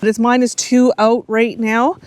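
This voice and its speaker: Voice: flat delivery, moderate pace, no room sound. A middle-aged Canadian vlogger narrating casually and candidly, thinking aloud with a wry, rambling manner. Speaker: outdoor travel vlogger. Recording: noisy, vehicle and outdoor rumble.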